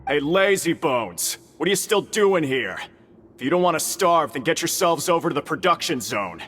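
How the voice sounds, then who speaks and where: Game prison guard: gruff, impatient, animated shouting-out of orders, voice ringing in a big reverberant space. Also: male voice, a little high, moderate pace, faint music behind. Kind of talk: animated video game voice acting. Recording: long echoing reverb.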